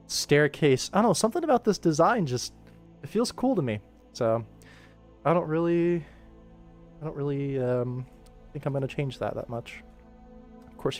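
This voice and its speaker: A man's voice: medium pitch, a little mellow. Young man chatting casually over music. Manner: rambling, playful, thinks aloud. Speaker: livestream host and animator. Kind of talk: casual livestream chat while working.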